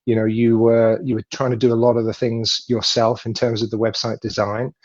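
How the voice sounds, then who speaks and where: A man's voice: mid-range voice, level tone, brisk, darkish tone, dry recording. A man in his thirties, a guest on a video call, answering in a friendly, rambling way with many fillers and restarts. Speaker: web design agency founder, guest. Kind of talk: casual interview answers. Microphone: webcam on video call. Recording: dull, dry video-call audio.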